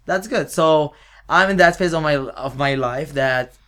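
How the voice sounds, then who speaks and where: Male, Lebanese accent. Actor as talk guest, casual animated storyteller, playful and self-mocking. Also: a little high, unhurried. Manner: playful, joking, self-teasing.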